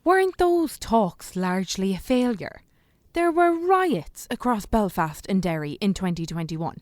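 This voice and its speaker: A woman's voice: mid-range voice, sing-song, a little slow, a little mellow, no echo. A woman acting a sceptical, indignant parliament delegate in a radio play, formal and challenging.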